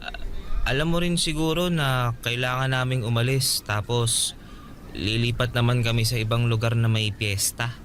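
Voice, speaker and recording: A man's voice: medium pitch, steady tone, normal speed, fairly bright tone, slight background noise, lightly acted. A man with a Filipino accent playing a friendly, smitten young suitor in a radio drama. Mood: hesitant. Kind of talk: Tagalog radio drama acting.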